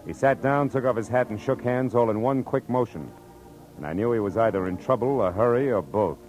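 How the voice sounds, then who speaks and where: An actor playing a wry, world-weary private detective narrating in a 1940s radio drama style. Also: male voice, mid-range voice, brisk, darkish tone, close to an everyday voice, low-quality recording. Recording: old radio recording with music.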